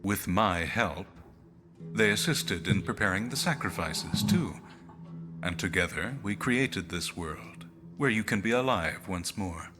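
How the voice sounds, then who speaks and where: Deep, clinical otherworldly spirit. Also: male voice, animated, over music. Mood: measured, matter-of-fact. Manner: measured, formal, detached. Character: calm clinical spirit of cast-away power, a killer. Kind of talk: visual novel voice acting.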